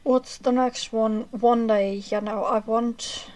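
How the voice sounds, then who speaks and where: A woman streamer chatting casually with her viewers as she wraps up a livestream, rambling with fillers and a self-described monotonous voice. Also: a little high, slow pace, poor audio. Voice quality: monotonous reading voice. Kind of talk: casual chat ending a livestream.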